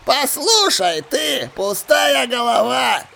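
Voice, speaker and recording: Here is a brash old pirate from an adventure audiobook. His voice jumps high and loud, and he drags out his words with greedy, jeering glee. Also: full character voice. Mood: jeering, gloating. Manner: loud, drawn-out jeering exclamations. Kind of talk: acted audiobook dialogue.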